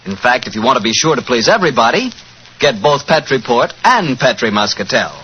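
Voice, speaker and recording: A man announcing and hosting in polished 1940s radio style, pitching to the listener. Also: very animated, a little fast, bright, forceful tone. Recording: noisy, muffled 1940s radio recording.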